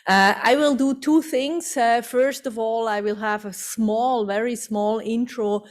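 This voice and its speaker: A woman's voice: fairly high pitch, steady tone, measured pace. Swiss-accented woman giving a talk. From Switzerland. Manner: fluent non-native English with fillers, upbeat. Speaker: CEO presenting. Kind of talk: conference presentation.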